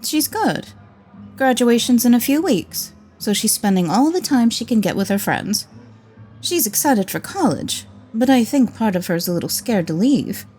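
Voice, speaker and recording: A middle-aged wife and mother in a radio drama, teasing and protective. Her delivery is animated, with playful chiding in it. Faint music runs behind her voice. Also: a little high, moderate pace, husky. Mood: fond.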